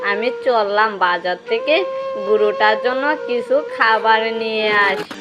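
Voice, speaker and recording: A woman's voice: on the high side, measured pace, natural voice. A woman playing a practical, money-minded cattle farmer and father in a cartoon, talking in an insistent, explanatory way. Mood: firm, explaining. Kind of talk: cartoon dialogue. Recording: dull lo-fi track under loud music.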